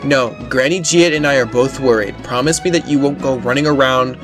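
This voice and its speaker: A man's voice: mid-range voice, a little fast, music in the background, voice pushed up, natural voice. A man reading game dialogue as a caring, worried young patrol woman, earnest and polite. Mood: gently firm.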